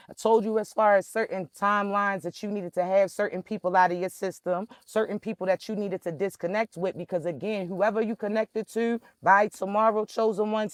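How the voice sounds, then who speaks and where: A man's voice: high voice, no room sound, studio quality. A young man, emphatically delivering a spiritual message straight to his listeners. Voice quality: raspy. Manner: emphatic, preacherly, addresses listeners directly. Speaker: spiritual reader. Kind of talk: direct-to-camera spiritual message.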